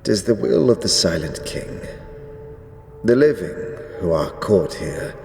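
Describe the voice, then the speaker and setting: Ancient skeleton priest, cavernous and deep. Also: male voice, sing-song, takes it slowly, creaky, darkish tone, soft background music, full character voice. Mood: stern, solemn. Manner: solemn, terse, archaic diction. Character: ancient regal skeleton priest, stern and ominous. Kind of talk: fantasy audio drama acting. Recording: cavernous reverberant hall, background noise.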